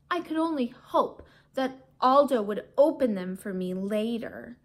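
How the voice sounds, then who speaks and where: A woman's voice: high-pitched, a bit bright. A woman teacher reading a children's storybook aloud in a theatrical, emphatic way that invites young listeners in. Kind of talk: expressive children's storybook narration.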